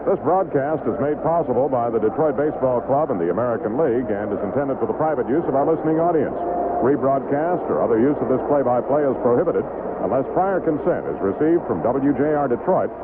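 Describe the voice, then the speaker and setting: A man with a mid-pitched voice works as a baseball radio announcer. The sound is narrow and tinny, buried in loud vintage static and ambient noise. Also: animated, fast. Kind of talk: broadcast announcing and colour commentary. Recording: very noisy, tinny 1970s AM radio.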